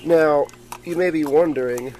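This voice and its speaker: A man's voice: fairly high pitch, measured pace, darkish tone. A man giving a casual, rambling show-and-tell of his gadgets, explaining things informally as a hobbyist. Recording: clearly noisy amateur camera audio.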